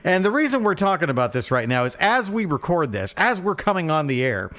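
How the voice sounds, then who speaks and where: A man's voice: a little high, very animated, brisk, fairly bright tone. Wry, rambling male podcast co-host. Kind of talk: casual comic chat.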